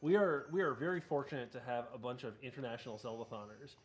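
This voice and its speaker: A man's voice: medium pitch, expressive, fast talker, a crowd in the background, poor audio. A man co-hosting a charity gaming stream, telling a long story in an easy, explaining way. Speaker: marathon co-host. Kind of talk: casual chat, telling a story.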